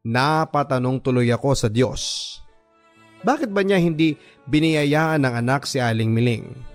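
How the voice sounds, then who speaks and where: A Filipino man tells a dramatic first-person story in the style of a radio love drama. His mid-pitched voice is earnest and sentimental, with deliberate phrasing. Soft music plays behind him. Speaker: radio drama narrator reading a letter-sender's story. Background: background music.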